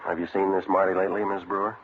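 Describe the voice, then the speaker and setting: Low-voiced American cop, deadpan, rapid, 1950s radio drama, tinny sound. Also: male voice, a little hiss or hum. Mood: businesslike. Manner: deadpan, matter-of-fact. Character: terse, businesslike male police sergeant narrating his case. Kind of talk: 1950s radio police drama, clipped procedural narration. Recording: tinny vintage radio recording.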